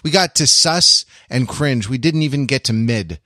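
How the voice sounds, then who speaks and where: An American man co-hosting a casual podcast discussion, speaking haltingly as he steers the conversation. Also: mid-range voice, lively intonation, sharp tone, no room sound, clean studio sound. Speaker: podcast co-host.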